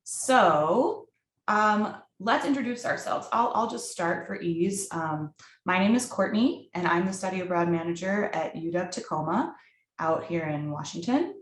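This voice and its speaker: A woman opening and hosting an online workshop, speaking deliberately with some fillers over a video call. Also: lowish voice, expressive, roomy sound. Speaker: workshop host.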